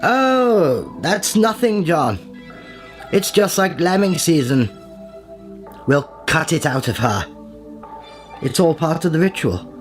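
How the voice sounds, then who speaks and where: Man acting as sinister lord, radio drama, pompous, old-fashioned upper-class phrasing, genial menace, clear music behind. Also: a little high, big pitch swings, takes it slowly, pauses often. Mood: gleefully menacing. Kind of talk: period radio play acting.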